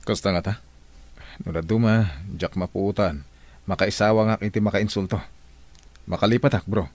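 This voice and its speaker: A man's voice: lowish voice, steady tone, moderate pace, darkish tone, no room sound, close to an everyday voice. A man playing a jealous, touchy boss in a radio drama, asking sulky questions. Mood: brooding.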